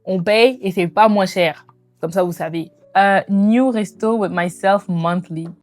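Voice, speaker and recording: A woman in her twenties chatting casually to viewers as a lifestyle vlogger, in an animated, informal way with slangy phrasing and bits of English mixed in. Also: medium pitch, a little slow. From immigrated to Canada. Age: 20s. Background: background music.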